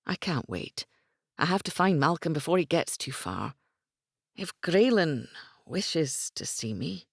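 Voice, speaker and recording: A woman voicing a brooding Highland warrior, speaking in a grave, hushed way. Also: big pitch swings, measured pace, gravelly, dry recording, clean studio sound, full character voice. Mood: sorrowful.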